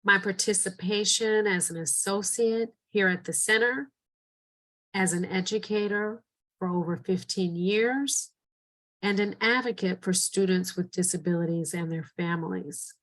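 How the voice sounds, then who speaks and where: A woman's voice: medium pitch, measured pace, a bit bright, poor audio. Earnest middle-aged woman presenting training. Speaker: educator and presenter. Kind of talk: scripted training narration.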